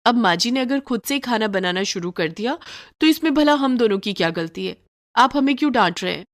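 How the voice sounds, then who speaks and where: A woman's voice: a little high, animated, fairly quick, voice pushed up, lightly acted. A woman voicing a sly, lazy young daughter-in-law in a cartoon moral story, pert and falsely polite as she justifies herself. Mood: indignant, feigning innocence. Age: young adult.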